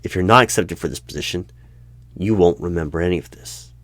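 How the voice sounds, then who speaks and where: A man in an ASMR roleplay as the stern hiring manager of a secret bureau, with deadpan questioning that breaks into sudden loud bursts and whispers. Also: low-pitched, fairly quick, no room sound. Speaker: interviewer in a roleplay.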